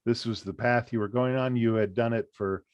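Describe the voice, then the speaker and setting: A man's voice: medium pitch, steady tone, a little fast, no echo. A man hosting an interview, asking long, rambling questions with frequent fillers.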